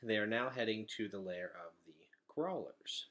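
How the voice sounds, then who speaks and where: A man reads a children's story aloud with an expressive delivery. His voice is bright and forceful, moving widely up and down in pitch. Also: normal speed. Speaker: read-aloud reader.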